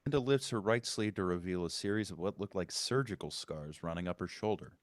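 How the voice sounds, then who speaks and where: Deep voiced guy narrating screenplay directions, dry sound. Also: a little fast, no echo. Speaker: stage-direction reader. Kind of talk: scripted narration at a table read.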